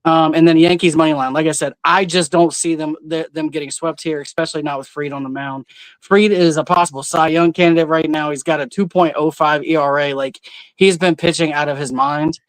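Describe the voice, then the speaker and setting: Man, sports betting co-host, casual, stat-heavy, slightly high voice, dry sound. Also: steady tone, a little fast, clear, resonant tone, no echo. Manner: laid-back, explains picks with stats. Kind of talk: casual betting picks talk.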